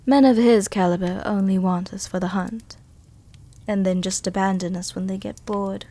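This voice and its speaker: A woman playing a seductive, protective vampire countess in an intimate ASMR roleplay, speaking tenderly and teasingly with a soothing, soft-dominant manner. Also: mid-range voice, steady tone, moderate pace, natural voice. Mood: bitter, protective. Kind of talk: intimate ASMR roleplay, scripted character acting.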